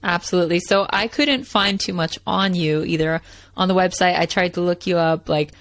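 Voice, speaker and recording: A woman's voice: on the low side, normal speed, a little hiss or hum. A woman hosting a podcast, upbeat and chatty, full of "like" and "right". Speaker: podcast host. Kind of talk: casual podcast interview.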